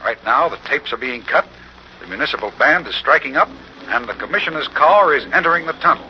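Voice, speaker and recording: An American man playing an earnest, excitable on-the-scene reporter in a 1940s radio drama, announcing formally in a projected voice. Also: a little high, fairly quick, natural voice. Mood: upbeat, formal. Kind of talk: 1940s radio drama, live news commentary. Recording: muffled old radio, faint noise.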